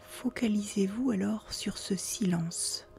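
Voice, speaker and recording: A woman guiding a relaxation meditation in a soft, breathy near-whisper, calmly giving soothing instructions with pauses. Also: medium pitch. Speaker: yoga nidra guide. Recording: faint music behind the voice.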